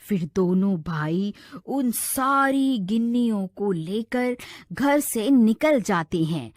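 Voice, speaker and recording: A woman's voice: mid-range voice, animated, slow pace, darkish tone, no room sound, clean studio sound. A woman reading scripted narration for a cartoon horror story.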